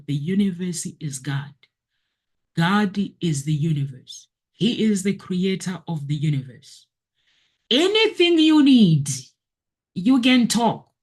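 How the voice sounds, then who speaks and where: A woman's voice: fairly low pitch, a little mellow, slightly rough. A woman hosting a motivational video, talking earnestly to her viewers and repeating her key phrases.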